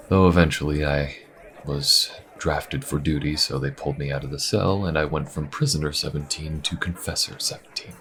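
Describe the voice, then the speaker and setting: A man playing a weary, easygoing inquisitor in a fantasy audio roleplay, chatting in a wry, conversational way with musing pauses. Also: steady tone, fairly bright tone. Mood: wry, reminiscing. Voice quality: very deep, gravelly. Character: weary, easygoing adult male Inquisition agent and adventurer. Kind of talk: fantasy audio roleplay, in-character small talk to the listener.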